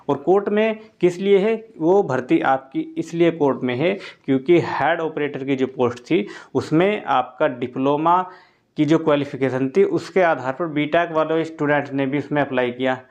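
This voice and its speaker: A man's voice: a little high, highs cut off. A man hosting an exam-update video, explaining in a casual spoken way straight to his viewers and repeating points and dates again and again. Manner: repetitive, keeps restating points and dates; addresses listeners directly throughout.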